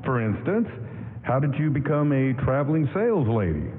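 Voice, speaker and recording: Casting: male actor as a self-doubting young ex-soldier, halting and earnest, 1940s radio play, thin AM sound in a reverberant hall. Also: mid-range voice, big pitch swings, lightly acted. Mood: earnest, tender. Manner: hesitant, stammering, repeating words. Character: troubled young war veteran, former athlete, earnest and self-doubting. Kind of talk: 1940s radio drama acting, staged live. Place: stage of a town hall, live broadcast. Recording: phone-like AM radio aircheck, echoing hall, music.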